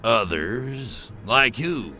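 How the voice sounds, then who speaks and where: Cocky, sharp-voiced alien invader. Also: male voice, medium pitch, expressive, drawn-out words, faint music behind, exaggerated voice. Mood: sarcastic, irritated. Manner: sarcastic, swaggering, slangy delivery. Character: cocky, wisecracking male alien invader, impatient and menacing. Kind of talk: video game cutscene voice acting.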